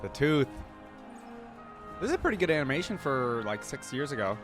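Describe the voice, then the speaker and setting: A man's voice: mid-range voice, very animated, moderate pace, music in the background. A man giving casual reaction commentary as a video host, musing aloud and asking himself questions.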